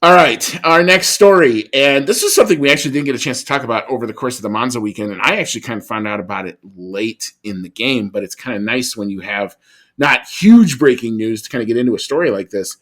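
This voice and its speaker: A man's voice: mid-range voice, fast talker, a bit bright. A man co-hosting a podcast in casual chat, talking in a conversational way with frequent restarts and asides. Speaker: podcast co-host.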